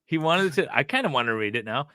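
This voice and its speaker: A man's voice: mid-range voice, lively intonation, fast, pushed and bright, smooth, dry recording, studio quality. A middle-aged man casually reading aloud and breaking off for wry, teasing asides as a co-host. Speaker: co-host reading and reacting to a document.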